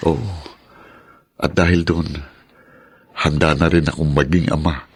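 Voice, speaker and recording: A Filipino man playing an earnest, lovestruck suitor in an audio drama, with a gravelly, husky voice. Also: rumbling low, fairly even intonation, measured pace, no echo, clean studio sound, exaggerated voice. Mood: tender, earnest. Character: Alberto, lovestruck earnest man, hesitant then resolved.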